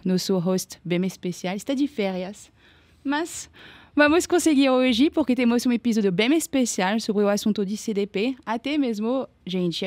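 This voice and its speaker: A woman's voice: fairly high pitch, darkish tone, no echo. A woman hosting a business podcast in casual conversation, welcoming and introducing her guests with fillers along the way. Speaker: podcast host.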